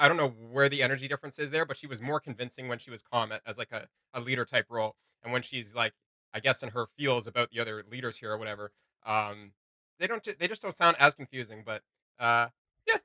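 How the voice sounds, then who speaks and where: Casual reaction host, bright-voiced and dry-miked. Conversational, hesitant commentary. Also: medium pitch, no room sound. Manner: halting, conversational.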